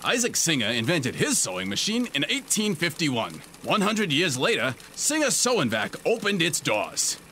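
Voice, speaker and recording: A zany radio commercial pitchman for a comic audio drama, punchy and over-eager. His bright, forceful voice swings extremely widely in pitch, with faint noise behind it. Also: huge pitch swings, moderate pace, hardly any pauses, exaggerated voice. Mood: brash, eager. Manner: punchy, exaggerated salesman delivery.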